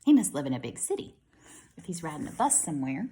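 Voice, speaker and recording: Animated woman reading to children. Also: low-pitched, fast talker. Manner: expressive, speaking to young children. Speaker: kindergarten teacher reading to pupils. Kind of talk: animated storybook read-aloud with casual asides.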